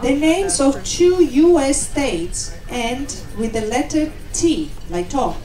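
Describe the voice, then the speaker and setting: Lively woman quiz host, echoey distance. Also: high voice, takes it slowly. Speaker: assistant cruise director. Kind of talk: hosting trivia game. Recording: echoey venue from afar, music.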